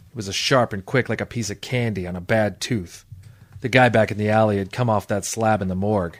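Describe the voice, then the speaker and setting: An actor playing a wisecracking, world-weary private detective in an old-time radio drama, deadpan and sardonic. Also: male voice, fairly low pitch, dry recording, clean studio sound, lightly acted.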